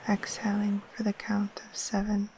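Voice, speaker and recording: A woman gently guiding a sleep meditation in a soft, breathy, half-whispered voice, soothing and unhurried. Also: medium pitch, slight background noise, rough recording. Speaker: yoga nidra guide. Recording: faint steady wash of ocean waves.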